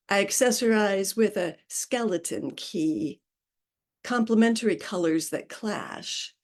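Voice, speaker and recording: A woman reading her own poetry aloud at a live event, with a slow, measured delivery and hesitant asides between poems. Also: medium pitch. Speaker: poet reading her work.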